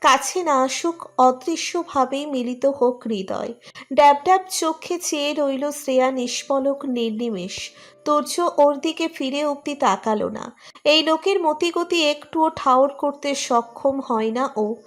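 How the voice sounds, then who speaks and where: A woman's voice: high-pitched, a little slow. A woman reading a scripted romantic story as narrator.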